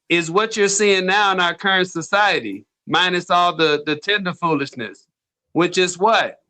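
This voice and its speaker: A man speaking as a panel guest on an online call, blunt, profane and emphatic, and loud on his mic. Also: high voice.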